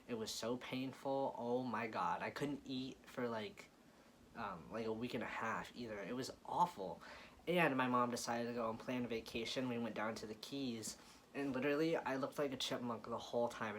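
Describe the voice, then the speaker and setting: A man's voice: mid-range voice, fairly bright tone. Young adult male vlogger, American, informal and emphatic, mid-range voice with faint room noise. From Florida, United States. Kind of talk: casual chat to viewers.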